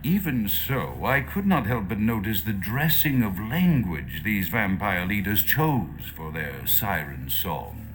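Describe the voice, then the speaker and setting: A man's voice: fairly low pitch, very animated, slow pace, few pauses, a little hiss or hum. An actor playing a pompous, erudite male vampire doctor, dictating a theatrical game monologue in florid, precise, disdainful phrases. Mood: grave, disdainful. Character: pompous erudite male vampire doctor, self-assured, paranoid-era Victorian diction. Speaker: audio diary narrator.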